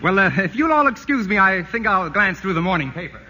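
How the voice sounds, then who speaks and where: An actor playing a dry, wry family friend in a 1940s radio play. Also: male voice, high-pitched, sing-song, fairly quick, pushed and bright, full character voice. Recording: dull old radio broadcast.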